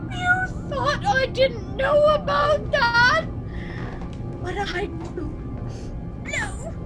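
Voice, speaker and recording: A shrill, self-pitying wife in a 1940s radio play. Her voice sits very high and swings wildly, full of nagging complaint and melodrama, over loud music on an old recording. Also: heavily acted, rough recording. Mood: accusing, desperate. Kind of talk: 1940s radio drama acting.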